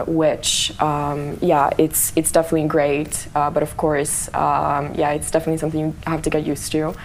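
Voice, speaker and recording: A woman's voice: sits low, a little hiss or hum. A woman, a student speaking on a panel with many fillers. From Italy. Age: 20s. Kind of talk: casual panel talk.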